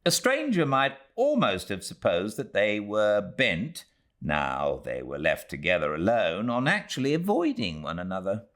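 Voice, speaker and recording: A prim, sanctimonious middle-aged housekeeper read by a British man gives her account in an audiobook. The voice is deep, with very animated intonation and formal, proper delivery. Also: a little slow, full character voice. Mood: prim, earnest. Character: prim, self-righteous middle-aged widowed housekeeper narrating her testimony. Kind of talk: classic novel audiobook narration in character.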